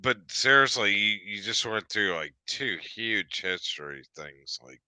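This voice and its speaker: A man in his fifties rambles through a casual chat, stumbling over restarts and fillers, then bursting out now and then. His voice is deep yet bright and crisp, and his talk comes slowly. The sound is dry and close. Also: animated, no echo.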